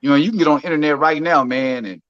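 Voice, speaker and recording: A laid-back man on a podcast, his voice bright and forward and pitched a little high. He talks easily about his own path to work and says "man" and "I mean" a lot. The sound is somewhat dull and lo-fi. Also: very fast, dry recording. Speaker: podcast co-host. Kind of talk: casual podcast discussion.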